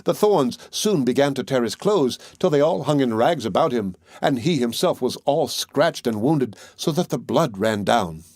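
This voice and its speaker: A man's voice: medium pitch, animated, moderate pace, few pauses, darkish tone, no room sound, studio quality. A man reading a fairy tale as a scripted audiobook narration.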